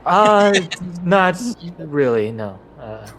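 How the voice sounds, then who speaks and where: A man's voice: fairly high pitch, very animated, very slow, fairly bright tone, slight background noise. A man playing a tabletop game, casually narrating his character's actions in a hesitant, laid-back way. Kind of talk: casual role-play, narrating his character in third person.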